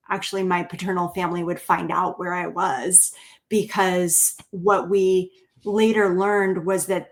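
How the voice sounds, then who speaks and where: A woman with an American accent, answering interview questions in a thoughtful, measured way and choosing her words carefully. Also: lowish voice. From Bulgaria, grew up in the United States. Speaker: interview guest, writer and therapist. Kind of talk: reflective interview conversation.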